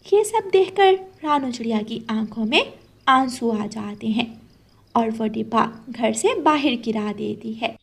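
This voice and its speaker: High-pitched woman narrating a children's story. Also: lively intonation, measured pace, vocal fry, slightly rough.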